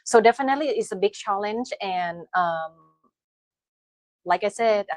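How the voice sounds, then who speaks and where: A woman's voice: medium pitch, measured pace, no room sound. A middle-aged woman from Thailand with a Thai accent, speaking hesitantly with many fillers as an interview guest. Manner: hesitant, many fillers, non-native grammar. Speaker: guest, aesthetician. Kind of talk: casual interview.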